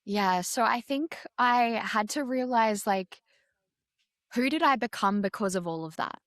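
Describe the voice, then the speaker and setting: A woman's voice: a little high, a little slow, dry recording, clean studio sound. A woman guest in an interview, talking directly and openly about her life, with frequent fillers and restarts. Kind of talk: candid personal interview.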